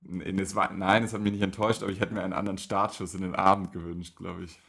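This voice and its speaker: A man's voice: sits low, flat delivery, fast, vocal fry, a bit bright, husky. A young man co-hosting a podcast, chatting casually and rambling with many filler words. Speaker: podcast co-host. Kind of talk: casual chat.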